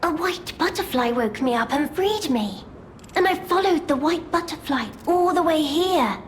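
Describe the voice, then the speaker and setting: A woman voicing a naive, wistful doll girl in a video game, pleading softly and speaking of herself in the third person. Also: sits high, animated, moderate pace, slight background noise. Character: childlike wistful doll girl longing for freedom. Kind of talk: scripted video game character acting.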